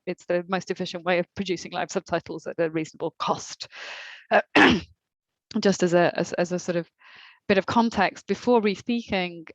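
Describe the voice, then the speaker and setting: A woman with a slight accent and a lowish voice works through audience questions as a subtitling trainer, pausing often with ums and restarting her sentences. The sound is a dull, lo-fi video call with a dry, close feel. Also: dry recording. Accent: slight non-native accent. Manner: hesitant, many ums and restarts, reads questions aloud. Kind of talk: answering audience questions in a webinar.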